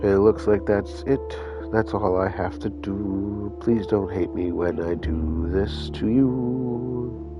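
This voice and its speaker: A man's voice: lowish voice, takes it slowly. A man live-streaming a game with a stuffy nose, talking casually and grumbling with the odd swear. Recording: dull lo-fi stream audio. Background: game music.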